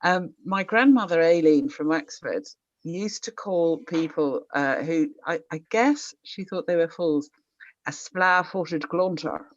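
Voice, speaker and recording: A woman with a Surrey accent, asking a question on a video call in a warm, bashful way. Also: low voice, a little slow, dry recording. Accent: Surrey English. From England. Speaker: audience member asking a question. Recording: dry, dull video call audio.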